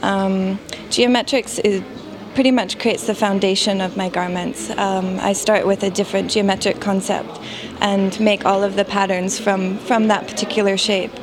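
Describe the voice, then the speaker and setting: A woman speaks with a medium pitch, working through her ideas slowly with plenty of pauses. Behind her a crowd chatters steadily across a busy trade fair hall. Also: flat delivery. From Canada. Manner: thoughtful, hesitant with fillers. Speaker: fashion designer. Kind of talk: casual interview answers.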